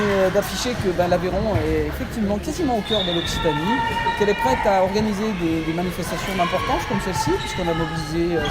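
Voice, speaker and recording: A middle-aged woman giving interview remarks as a sports committee president, speaking in halting, deliberate phrases with repeated emphasis. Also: fairly low pitch. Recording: very noisy sports hall.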